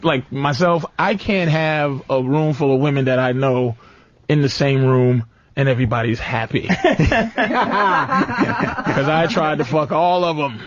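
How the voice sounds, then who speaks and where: A man's voice: medium pitch, unhurried, pauses often. A man hosting an unscripted comedy talk show, brash, profane and argumentative as he banters and debates with a group. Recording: dull lo-fi radio studio, chatter behind.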